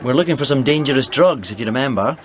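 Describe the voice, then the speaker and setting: Man playing young scottish copper, talks fast, polite but dry, noisy behind him. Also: medium pitch, animated, close to an everyday voice. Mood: dry, businesslike. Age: young adult. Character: polite, eager young male police constable. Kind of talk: radio drama acting. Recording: noisy background.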